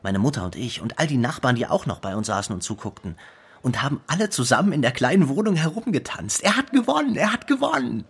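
Proud brother, medium-pitched voice, excitable storyteller, radio drama monologue, reminiscing. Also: male voice, fast talker, breathy edge. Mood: jubilant.

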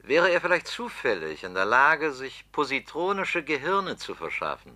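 An actor playing a scheming political opponent in a radio drama, talking bluntly and sarcastically. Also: male voice, medium pitch, sing-song, moderate pace, sharp tone. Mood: pressing. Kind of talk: 1960s-70s radio drama acting.

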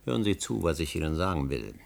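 An actor in a German radio play, playing a driven, imperious middle-aged scholar who suspects conspiracies and speaks in emphatic, deliberate phrases. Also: male voice, deep voice, sing-song, gravelly, heavily acted. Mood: urgent, conspiratorial. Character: driven, conspiracy-minded older male history scholar, insistent and imperious.

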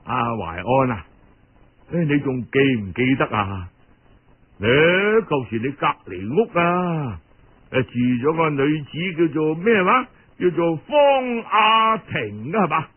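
A man voicing a pompous, greedy older Cantonese uncle in a storytelling performance, full of exclamations and drawn-out interjections. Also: fairly high pitch, lively intonation, husky, narrow, tinny audio, pitched below the performer's own voice, heavily acted. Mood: ingratiating, scheming. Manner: exclamatory, moralizing then wheedling, drawn-out interjections.

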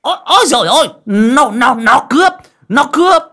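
A man's voice: medium pitch, animated, slow, a bit bright, voice pushed up. Man playing a stammering, blustering older village coffin maker. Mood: panicked. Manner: stammering, panicky, coarse rustic speech.

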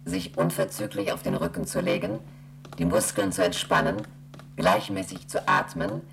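A woman voicing a station computer that reads out emergency rules in a flat, mechanical monotone. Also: extremely low pitch, moderate pace, gravelly, background noise. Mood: dictating. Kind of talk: 1970s radio play acting.

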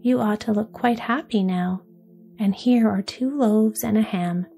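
A woman voicing a bold, wild young robber girl in a soft whisper. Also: medium pitch, a little slow, gravelly, soft background music. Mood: bossy.

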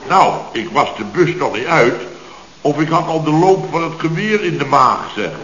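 An actor playing an indignant, sarcastic building-firm boss in a Dutch radio comedy from around 1970, with blustering, emphatic delivery. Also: male voice, fairly high pitch, expressive, normal speed, a bit bright. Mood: aggrieved storytelling. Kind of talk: late 1960s Dutch radio comedy acting. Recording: roomy, dull old radio recording.

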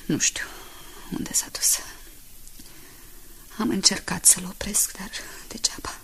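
An actress playing a bitter, despairing woman in a radio drama, speaking in a hushed, breathy, reproachful way. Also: mid-range voice, fairly even intonation, whispered.

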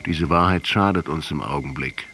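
A man's voice: very deep voice, normal speed, bright, forceful tone, exaggerated voice. An actor in a German radio play voicing a pragmatic guerrilla leader who cautions his comrade. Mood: calm reproof. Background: music.

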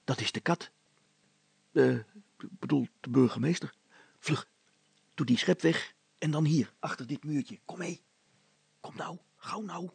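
A furtive older father from a storybook, played by a male reader, grumbling in hissed undertones. The voice is husky and creaky, often near a whisper. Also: a little high, measured pace, long pauses, no echo, pitched above the performer's own voice, heavily acted. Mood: urgent, hissing. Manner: hushed, hissing, grumbling.

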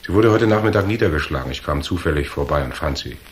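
A man's voice: rumbling low, lively intonation, fast talker. A male actor playing a cool, tough, confident hero in a radio crime drama, speaking dryly and unflustered. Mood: cool, probing. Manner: dry, unflustered, hard-boiled.

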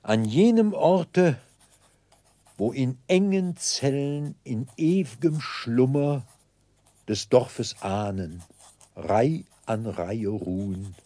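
An actor playing a pompous, theatrical middle-aged debtor clerk in despair, declaiming in florid oratory in a 1960s radio play. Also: male voice, mid-range voice, lively intonation, slow, warm tone, no echo. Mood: solemn, grandiose.

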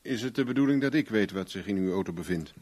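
An actor playing a shrewd private investigator in a radio play, polite but dryly sceptical and probing. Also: male voice, on the low side, expressive, quick pace, darkish tone. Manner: dry, questioning, polite.

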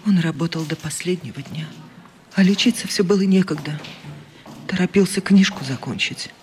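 A woman playing a subdued, kind, grieving woman in a radio play, in a hushed, breathy near whisper. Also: lowish voice, moderate pace, a little hiss or hum, lightly acted. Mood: quiet grief.

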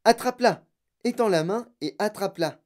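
A narrator voicing an excitable, bossy, eccentric male chocolate factory owner in a children's audiobook, with exclamatory, theatrical commands. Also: mid-range voice, moderate pace, close to an everyday voice. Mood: exultant. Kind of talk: children's audiobook character acting.

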